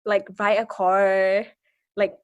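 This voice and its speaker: A woman's voice: medium pitch, flat delivery, slow, clean tone, dull audio. Young woman guest speaking with a Karenni accent. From Thailand, refugee camp. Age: 20s. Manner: hesitant, with restarts and fillers; laughs softly between points. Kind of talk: conversational interview.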